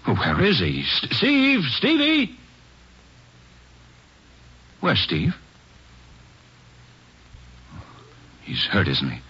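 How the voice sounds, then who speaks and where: An actor in a 1940s radio drama playing a distraught, grief-stricken father, halting and anguished. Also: medium pitch, wildly swooping pitch, bright, forceful tone, slightly rough, full character voice. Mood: anxious dread, then frantic calling. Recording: dull 1940s radio recording.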